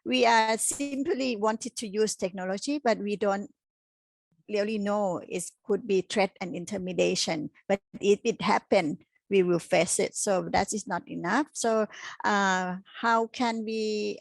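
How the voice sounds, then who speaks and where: A woman speaks as an activist panelist in a voice full of creak. Her delivery is halting, with repeated and rephrased words. The sound is dry and her pitch sits in the middle. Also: fairly even intonation, dry recording. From Thailand. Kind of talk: panel discussion, unscripted.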